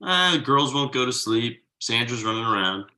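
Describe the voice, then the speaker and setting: Man playing a bright-voiced, easygoing tired dad. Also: medium pitch, lively intonation, normal speed. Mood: friendly, concerned. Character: exhausted, easygoing 37-year-old slacker dad. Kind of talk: naturalistic comedy table read.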